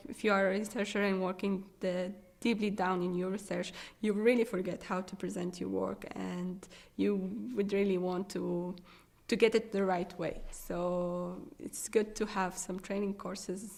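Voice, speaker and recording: A young woman from Syria with a Syrian Arabic accent and Arabic as her first language, a research assistant answering interview questions in halting English with many fillers and restarts. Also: medium pitch, creaky, a little mellow. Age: young adult.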